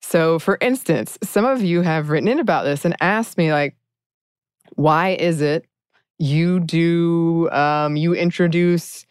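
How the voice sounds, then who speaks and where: Woman, very low smooth voice, reflective and self-deprecating, dry studio sound. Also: slow pace, darkish tone, dry recording. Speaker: podcast host. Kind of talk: casual podcast chat.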